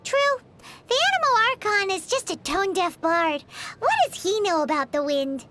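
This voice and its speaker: A woman voicing a tiny, perky, childlike fairy companion in a video game, with a squeaky voice. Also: sing-song, moderate pace, fairly bright tone, soft background music, exaggerated voice. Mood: breezy. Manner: perky, refers to self in third person. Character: tiny childlike female floating fairy companion, chirpy and food-loving. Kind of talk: scripted video game dialogue.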